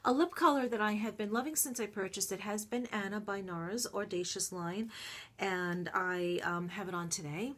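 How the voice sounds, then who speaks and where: A woman's voice: medium pitch, normal speed. Chatty congested woman vlogger. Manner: chatty, gushing, frequent ums. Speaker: beauty vlogger. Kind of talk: casual favorites review to camera.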